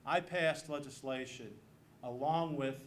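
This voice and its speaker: A man's voice: medium pitch, a little slow. Man, American accent, mid-range voice, campaign speech, emphatic repetition, slight background noise. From Missouri, United States. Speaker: state legislator and candidate. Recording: noisy live event room recording.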